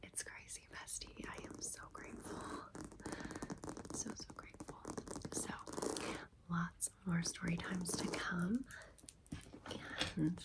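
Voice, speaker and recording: A woman in her thirties hosting ASMR, telling a personal story in a breathy, intimate, chatty whisper. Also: lowish voice, fairly even intonation, slow pace, pauses often, rough recording. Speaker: ASMR video host.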